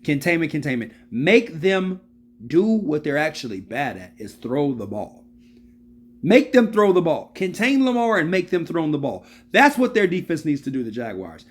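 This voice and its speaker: A man's voice: on the high side, normal speed. A man in his early thirties giving casual, slangy football analysis, repeating his points for emphasis and calling out to viewers. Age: 30s. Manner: animated, repeats phrases for emphasis. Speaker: sports video host.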